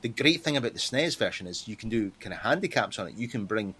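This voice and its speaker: A man's voice: lowish voice, expressive, fast talker, sharp tone, a little hiss or hum. A middle-aged man chatting casually as a podcast co-host. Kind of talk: casual chat.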